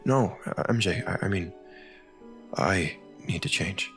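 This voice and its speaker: A man playing a troubled, hesitant husband and father in a comic dub, speaking haltingly in a gravelly voice. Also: low-pitched, fairly bright tone, heavily acted. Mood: hesitant, earnest. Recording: music behind the voice. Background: music.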